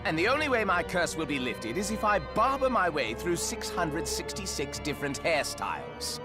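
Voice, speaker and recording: An actor playing a frantic, comical cursed demon barber in a video game, stammering and theatrical. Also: male voice, a little high, big pitch swings, normal speed, music in the background. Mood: pleading, nervous.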